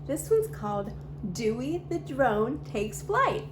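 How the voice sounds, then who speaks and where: Bright woman reading a children's story. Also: fairly high pitch, slow pace. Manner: bright, expressive read-aloud delivery. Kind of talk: reading a picture book aloud to kids. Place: home library. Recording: steady background hum.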